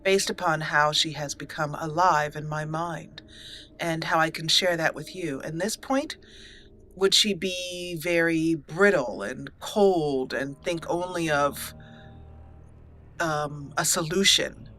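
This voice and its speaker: A woman hosting a let's play, narrating in a theatrical, deliberate roleplay style with dramatic pauses. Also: deep voice, fairly even intonation, a bit bright, slight background noise. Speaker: gaming channel host.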